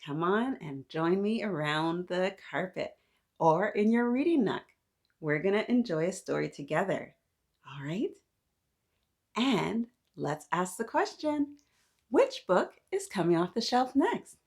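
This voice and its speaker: A woman hosts storytime for young children, talking to them slowly and playfully. Her pitch swoops widely as she asks questions and encourages her little listeners. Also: sing-song, a little mellow, slightly rough.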